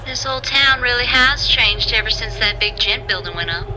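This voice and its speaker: A woman's voice: on the high side, moderate pace, bright, forceful tone, a little hiss or hum, poor audio. A woman playing a watchful, fair-minded small-town woman in a video game audio log, plainspoken and folksy. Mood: concerned, musing.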